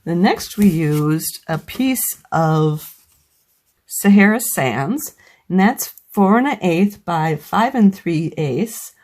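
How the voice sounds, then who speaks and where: A woman's voice: on the low side, expressive, slow pace, darkish tone. A woman with a slightly scratchy voice from a cold, patiently explaining each step of a crafting tutorial as a craft demonstrator.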